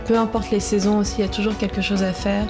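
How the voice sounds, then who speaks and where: A woman's voice: medium pitch, level tone, fast, music in the background. A woman speaking conversationally as an interviewee.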